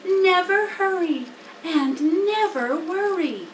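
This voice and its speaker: A wise female spider from a storybook, acted by a woman, speaks slowly in a high, motherly voice. She is cool, reassuring and gently firm, heard in a roomy space. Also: lively intonation, full character voice. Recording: roomy, distant mic, faint background noise.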